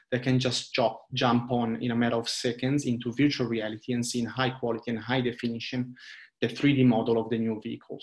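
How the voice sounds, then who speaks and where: An Italian man in his thirties presents his company to investors on a laptop video call. He speaks in a steady, flat tone that rarely rises or falls. His delivery is earnest, with frequent hesitation fillers. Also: medium pitch. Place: small indoor room. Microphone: laptop webcam video call.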